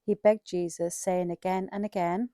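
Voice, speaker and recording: Female scripture narrator, lowish and very mellow, scripted storytelling, dry recording. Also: fairly even intonation, normal speed, no room sound. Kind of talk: scripted dramatized Bible narration.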